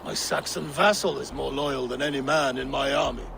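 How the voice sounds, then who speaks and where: Gravelly, proud Viking warlord. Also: male voice, mid-range voice, steady tone, normal speed, noisy background. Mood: bitter, accusing. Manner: imperious, accusatory, declamatory. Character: proud, suspicious male Viking warlord, commanding and vengeful. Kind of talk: dramatic video game acting. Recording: clear outdoor ambience behind voice.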